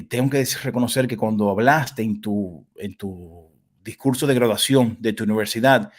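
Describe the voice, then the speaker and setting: A man's voice: mid-range voice, a little mellow. Talkative Dominican man hosting an interview. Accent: Dominican Spanish. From Dominican Republic. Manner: talkative, halting, effusive. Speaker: interviewer, host. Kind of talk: casual live interview, personal storytelling.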